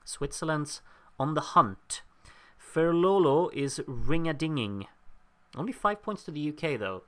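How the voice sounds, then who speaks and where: A man hosts a live watchalong, talking casually through a scoreboard as votes come in. He reads out viewers' names with wry asides and laughs at his own stumbles. His voice sits at a middling pitch and sounds a little worn. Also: fairly bright tone, clear, resonant tone. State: losing his voice. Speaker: livestream host.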